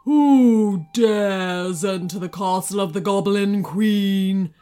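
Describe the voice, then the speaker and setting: A woman's voice: mid-range voice, slow pace, dark tone, clean tone, faint music behind, lightly acted. A woman voicing a huge, lazy, grumbling dragon guard in a children's story. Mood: grumbling challenge.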